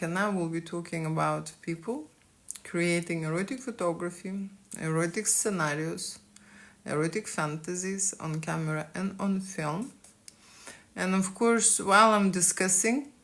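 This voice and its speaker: Woman, Lithuanian accent, slow unhurried talk, gravelly low voice, keeps asking okay. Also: a bit bright. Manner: frequent "okay?" tag questions. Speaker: boudoir photographer. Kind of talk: live stream talk. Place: studio in London.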